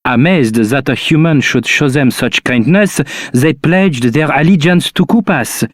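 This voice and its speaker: Slow, earnest legend teller. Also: male voice, a little high, dry recording. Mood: earnest, solemn. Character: earnest storyteller recounting an old village legend. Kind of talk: scripted storytelling narration.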